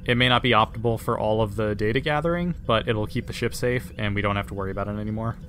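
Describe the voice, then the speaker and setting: A man's voice: fairly low pitch, quick pace. Dry, teasing man at a game table. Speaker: player in a tabletop roleplaying game. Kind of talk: casual table chat. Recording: faint room noise.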